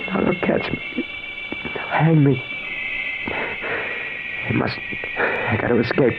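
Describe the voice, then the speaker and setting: An actor playing a desperate, guilt-ridden American husband in an old radio melodrama, anguished and halting. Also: male voice, mid-range voice, creaky. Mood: frantic despair. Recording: hissy, dull vintage radio recording.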